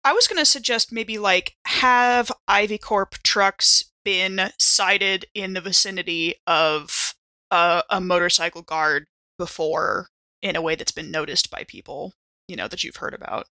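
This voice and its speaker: A woman talks casually as a tabletop game player. Her tone is bright and crisp-edged with plenty of creak, at an average pitch, recorded dry. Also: a little slow, no echo. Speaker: player on a game show podcast.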